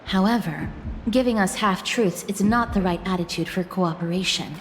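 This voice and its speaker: An actress as a formal young goddess in echoing hall. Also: female voice, medium pitch, a little hiss or hum, natural voice. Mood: firm, guarded. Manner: formal, earnest, measured. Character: polite, guarded, principled young goddess of death. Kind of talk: video game voice acting. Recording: long hall-like echo, music underneath. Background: game music.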